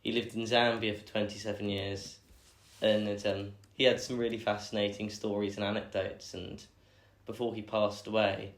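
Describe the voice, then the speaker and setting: A young man, answering interview questions in a thoughtful, measured way with many pauses and fillers. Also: fairly low pitch, little pitch movement. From England. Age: young adult. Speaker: guest. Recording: echoey room.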